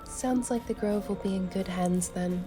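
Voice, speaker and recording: A woman's voice: medium pitch, moderate pace, over music, close to an everyday voice. A woman voicing a direct, inquisitive adventurer heroine in a fantasy game, plain-spoken and composed. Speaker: amateur voice actor. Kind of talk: scripted fantasy game dialogue.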